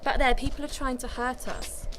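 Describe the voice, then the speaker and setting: A woman's voice: sits high, little pitch movement, normal speed, background noise, lightly acted. Woman playing earnest protective grandmother recounting hardship. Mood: urgent. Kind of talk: radio drama acting.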